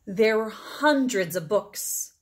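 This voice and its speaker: A middle-aged woman reading a children's picture book aloud expressively, with deliberate phrasing and emphatic pauses. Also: medium pitch, animated. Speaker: read-aloud storyteller.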